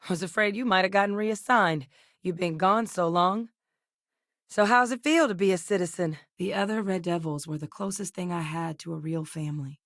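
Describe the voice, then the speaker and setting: An actress voicing a composed female military officer in a video game, speaking in a measured, earnest, matter-of-fact way. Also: on the low side, no echo, clean studio sound, lightly acted. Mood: steady. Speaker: game character. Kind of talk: game voice lines.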